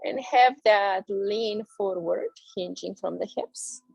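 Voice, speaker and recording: A woman leads a yoga class with slow, soothing, drawn-out cues, her voice carrying a husky, gravelly edge. She speaks unhurriedly, and the recording is dry and close. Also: medium pitch, darkish tone, no room sound, highs cut off. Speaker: yoga instructor. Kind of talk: guided yoga class instruction.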